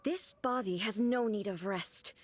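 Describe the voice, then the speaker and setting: A woman voicing a cold, artificial ruler in a game cutscene, speaking in a flat, formal, commanding way. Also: mid-range voice, a little slow, soft background music, natural voice.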